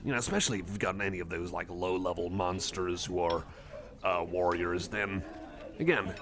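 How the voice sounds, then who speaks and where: A man's voice: lowish voice, gravelly, a bit bright. A man playing a friendly, nerdy cyborg card enthusiast in a casual ASMR roleplay, rambling hesitantly and apologetically. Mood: geeky, relaxed. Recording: cafe crowd chatter behind. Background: busy cafe chatter.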